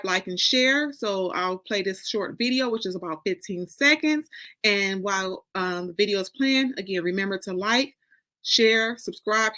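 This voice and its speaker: American woman, bright crisp-edged voice, mid pitch, casual host chat, emphatic, repeats phrases. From Detroit, United States. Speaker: host and author.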